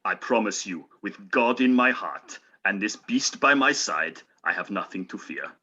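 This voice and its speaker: A man's voice: fairly low pitch, lively intonation, measured pace, pushed and bright, husky, dull audio, heavily acted. A man playing an earnest, brave medieval Norseman in an amateur radio play, speaking in mock-archaic, declamatory phrases. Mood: confident.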